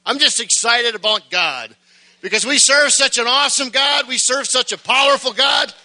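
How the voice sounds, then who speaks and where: A middle-aged man preaching a sermon, folksy and joking at first, then building to shouted fervor. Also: very high voice, moderate pace, low-quality recording.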